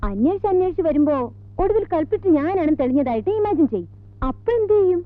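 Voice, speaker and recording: Casting: a self-possessed woman with a high voice, thin phone-like old film sound. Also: lively intonation, fast, no room sound. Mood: teasing. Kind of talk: 1980s Malayalam film acting.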